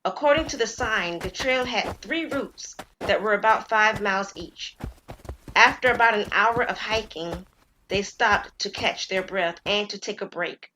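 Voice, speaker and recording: A woman storyteller narrating an audio drama story in a measured, clear reading with gentle suspense. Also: medium pitch, slight background noise, poor audio.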